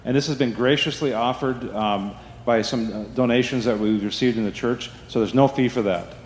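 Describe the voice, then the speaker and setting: A man's voice: medium pitch, normal speed, a little hiss or hum. Chatty man announcing in an echoey church. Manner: chatty, keeps asking "right?". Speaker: church staff giving announcements. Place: church sanctuary. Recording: echoey hall, faint ambience.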